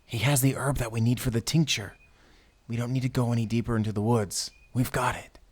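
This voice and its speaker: An actor playing a wary, blunt young Spanish vampire slayer in an audio roleplay drama. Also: male voice, mid-range voice, steady tone, fairly quick, close to an everyday voice. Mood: guarded, businesslike. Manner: blunt, guarded. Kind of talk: cinematic audio roleplay acting.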